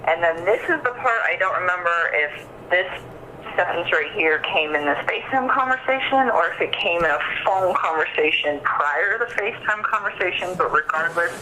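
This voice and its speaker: A woman's voice: low-pitched, pushed and bright. A woman giving an informal interview over the telephone, hesitant and rambling, peppering her account with "like". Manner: hesitant, rambling, many likes. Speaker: interviewee recounting events to an investigator. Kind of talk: informal phone interview, recounting. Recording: noisy telephone interview recording.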